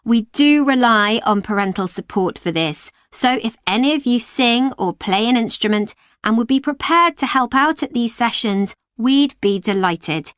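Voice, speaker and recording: A woman who runs an after-school club walks parents through the weekly activities. She speaks clearly and at an even, measured pace. The recording is dry and close. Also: on the high side, no echo. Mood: informative. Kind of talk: scripted exam recording.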